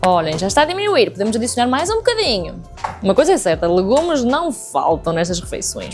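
A woman's voice: medium pitch, darkish tone. A young woman with a European Portuguese accent, chatting casually to viewers as she cooks in her home kitchen. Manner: chatty, addresses viewers directly while cooking. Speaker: cooking vlogger. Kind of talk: casual cooking tutorial.

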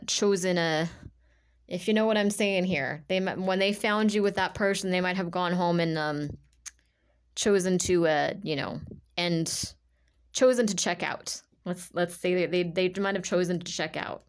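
A woman with a slightly low voice gives an informal tarot reading as a monologue. She talks in a halting, emphatic way, repeating phrases as she feels her way through and leaning often on the word "like". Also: steady tone, smooth. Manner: halting, repetitive, emphatic, filler-heavy with "like". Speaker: tarot reader, channeler.

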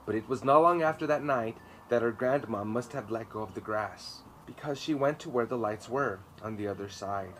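A man's voice: mid-range voice, moderate pace, hardly any pauses, a bit bright, poor audio. A man in his thirties reading a children's story aloud with emphatic, dramatic phrasing in a garden. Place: outdoor garden patio, seated. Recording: clear ambient noise behind voice.

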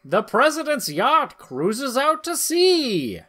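A man's voice: very high-pitched, wildly swooping pitch, slow pace, sharp tone. A middle-aged man co-hosting a podcast, moving between casual chat and dramatic reading. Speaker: podcast co-host. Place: indoor room, video call.

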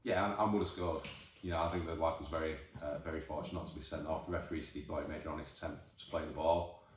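A man in his forties with a Northern English accent, a football manager giving a measured, thoughtful post-match interview, recorded by a distant camera in an empty changing room. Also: very deep voice, fairly even intonation. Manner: measured, thoughtful, many restarts. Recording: echoey room, voice from far off.

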